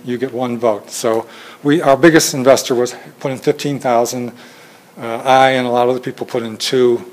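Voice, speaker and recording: A soft-spoken man giving an informal talk with slides to a training group, hesitant with many fillers and restarts, recorded on a phone in a meeting room. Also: mid-range voice, rough recording. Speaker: presenter at a training session. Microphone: phone camera in the room.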